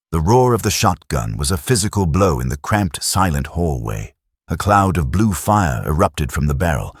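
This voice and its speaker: A man narrating a science fiction audiobook in a dramatic, measured delivery. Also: low-pitched, slightly rough, no room sound, clean studio sound.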